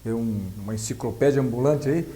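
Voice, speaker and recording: A Brazilian man working as an interviewer, asking conversational questions in a halting, rephrasing way. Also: medium pitch, warm tone.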